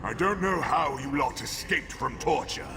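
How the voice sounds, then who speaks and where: An actor playing a cruel, contemptuous undead lich sorcerer in a fantasy game, menacing and imperious, with long reverb and music. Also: male voice, mid-range voice, animated, normal speed, vocal fry. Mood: contemptuous menace. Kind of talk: dramatic fantasy game villain acting. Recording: long cavernous reverb under loud music.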